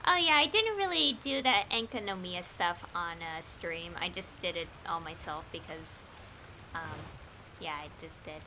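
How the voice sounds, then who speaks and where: A woman's voice: fairly low pitch, animated, a little slow, background noise. A woman streaming a game with casual, rambling commentary, thinking aloud.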